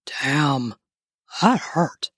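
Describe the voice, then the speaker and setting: A woman playing a grumpy, dominant young man roused from sleep. His voice is husky and growling, commanding even when groggy. It sits close and dry. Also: extremely low pitch, very slow, no echo, pitched below the performer's own voice, full character voice.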